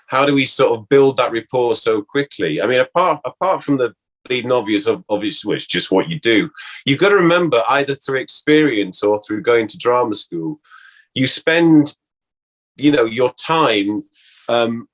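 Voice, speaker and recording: A man, an actor being interviewed, talking casually and reflectively, haltingly repeating words as he thinks aloud. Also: mid-range voice, expressive, poor audio.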